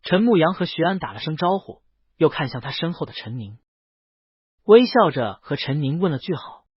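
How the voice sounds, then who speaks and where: A man narrates a romance story in a fairly high, husky voice with lively swings of pitch. The recording is close and dull, as in a lo-fi audiobook. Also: normal speed, dry recording. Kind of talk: scripted narration of a romance novel. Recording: dull, lo-fi, close dry sound.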